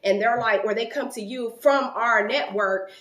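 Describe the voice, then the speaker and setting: A woman in her late thirties telling a long personal story to her viewers in a casual, animated way. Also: on the high side, normal speed, roomy sound. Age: 30s. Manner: animated, rising loud when quoting an argument.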